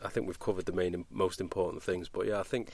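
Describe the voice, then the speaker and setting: A man's voice: low voice, steady tone, fast talker, gravelly, crowd noise. A British man hosting a podcast, chatting casually in an earnest, enthusiastic way. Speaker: podcast host. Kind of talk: casual interview chat.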